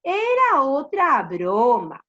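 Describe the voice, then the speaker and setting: A mischievous storybook shepherd acted out by a woman, pitched very high and swooping. The cries are stretched out and theatrical, as in a read-aloud for children. The recording is dull and lo-fi. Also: wildly swooping pitch, drawn-out words, pushed and bright, exaggerated voice. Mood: gleeful mocking. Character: mischievous male shepherd shouting false alarms.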